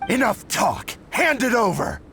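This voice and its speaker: Barking, gravelly bandit thug. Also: male voice, very high-pitched, huge pitch swings, a little slow, heavily acted. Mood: angry, threatening. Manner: barking, threatening. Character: aggressive thuggish male bandit. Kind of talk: anime game voice acting. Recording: loud music under battle dialogue.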